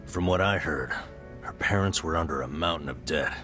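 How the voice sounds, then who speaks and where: Burned-out middle-aged ex-nurse, extremely low voice, American accent, wry and self-deprecating, game dialogue over prominent music. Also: male voice, moderate pace, fairly bright tone. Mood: wry, regretful. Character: burned-out, self-deprecating former male nurse confiding a past. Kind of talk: video game dub, confessional storytelling. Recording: music behind the voice.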